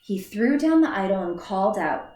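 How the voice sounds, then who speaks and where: A woman's voice: medium pitch, lively intonation, normal speed, a little mellow. A woman teacher reading a children's story aloud in a careful read-aloud manner, with the odd slip and restart. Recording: echoey room.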